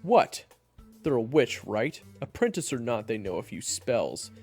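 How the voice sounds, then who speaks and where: A man's voice: medium pitch, big pitch swings, a little slow, darkish tone, faint music behind, pitched above the performer's own voice. A man playing an abrasive, impatient monster hunter in an audio roleplay, blunt and sarcastic with a grudging protective streak. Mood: impatient, goading. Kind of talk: audio roleplay acting addressed to the listener.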